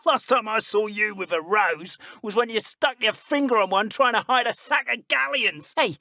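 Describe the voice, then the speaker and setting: A man voicing a pompous, sneering dark wizard villain in a video game, with theatrical, formal, old-fashioned phrasing. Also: high voice, moderate pace, no room sound. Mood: bantering, smug.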